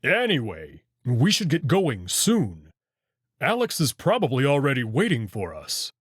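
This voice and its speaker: A friendly young wolf man from a visual novel, acted out by a male reader. He speaks politely and a little formally, eager to please, with lively swings in pitch in a dry, close space. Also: a little slow, husky, no echo, full character voice. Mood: casual. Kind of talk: visual novel character reading.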